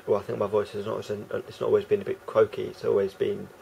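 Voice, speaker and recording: Croaky, rambling low-voiced man. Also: level tone, a little fast, mellow, a little hiss or hum, poor audio. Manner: rambling, hesitant, full of fillers and restarts. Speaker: vlogger. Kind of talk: casual monologue to camera.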